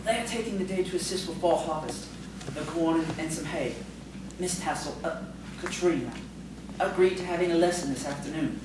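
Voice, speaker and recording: A woman's voice: low-pitched, a little fast, close to an everyday voice. A woman playing a polite, eager, bookish male schoolteacher in audio theatre, with a hesitant, formal manner. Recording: distant, very echoey, noisy stage recording.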